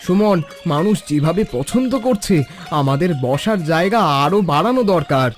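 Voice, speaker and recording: Brotherly village helper, played by a man, high voice, reassuring, cartoon dialogue, faint music. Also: lively intonation, moderate pace. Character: supportive, hardworking village helper, brotherly to a youth.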